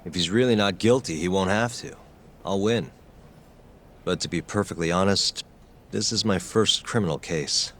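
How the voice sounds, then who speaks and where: An actor playing an earnest, determined young lawyer in a video game. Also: male voice, sits low, moderate pace, fairly bright tone, a little hiss or hum.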